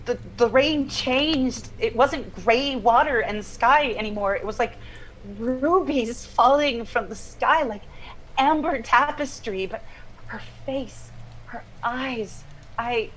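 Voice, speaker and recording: An actress playing a haunted, enraptured woman recounting a dream in a halting, wistful monologue. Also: on the high side, a bit bright, slight background noise, rough recording. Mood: dreamy, awed. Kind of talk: dramatic interview-style monologue.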